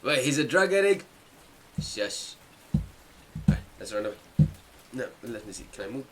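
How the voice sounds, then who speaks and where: A man's voice: medium pitch, sing-song, moderate pace, fairly bright tone, a little hiss or hum. A man hosting a let's play, talking casually as he thinks aloud and reads out game text. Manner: chatty, thinks aloud, repeats himself. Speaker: gamer, let's play host. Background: game music.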